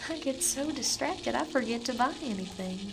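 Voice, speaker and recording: A woman acting a radio play monologue as a dreamy, unsettled woman obsessed, intimate and confessional, often slipping into breathy whispers. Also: high-pitched, animated, normal speed, noisy background. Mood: feverish, entranced. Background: music and sound design.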